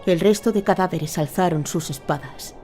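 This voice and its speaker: A woman's voice: on the low side, moderate pace, mellow, soft background music. A woman narrating a fantasy audio drama in a scripted, dramatic storytelling style.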